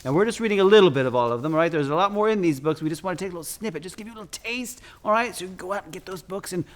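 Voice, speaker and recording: High-voiced theatrical man, campy storyteller. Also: rapid-fire. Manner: effusive, campy, often calls listeners "darlings"; dramatic, expressive delivery. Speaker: drag queen storyteller and guest. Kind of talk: theatrical read-aloud of picture books with chatty asides.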